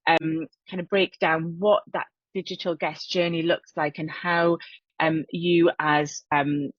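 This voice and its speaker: Low-voiced woman hosting a webinar. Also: little pitch movement, unhurried, dry recording, dull audio. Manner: many fillers, repeated words, friendly. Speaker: webinar co-host, hospitality marketing consultant. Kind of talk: webinar presentation.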